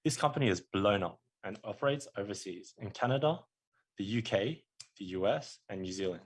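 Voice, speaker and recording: A man's voice: fairly low pitch, lively intonation, brisk, slightly rough. A man giving a prepared student presentation, reading from a script with small slips.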